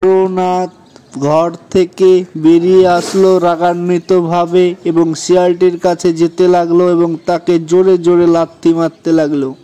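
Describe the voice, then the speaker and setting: Male children's storyteller, slightly high pitch, very unhurried scripted narration. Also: little pitch movement, warm tone, clean tone.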